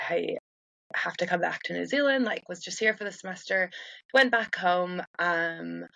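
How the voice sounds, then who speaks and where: A woman's voice: on the low side, fairly bright tone, no room sound. An American woman in her late twenties, a guest researcher speaking from home, chatty and loose with many ums and likes. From United States. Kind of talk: casual interview talk.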